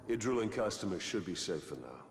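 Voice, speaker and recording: A grim male ranger in a fantasy video game. His voice is low, and he speaks tersely and solemnly, set on his purpose, with faint ambience behind him. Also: sing-song, fairly quick, a little hiss or hum. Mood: grim, resolute.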